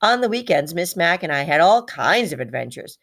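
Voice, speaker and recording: A woman reading a children's story aloud in an expressive, animated manner. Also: lowish voice, brisk.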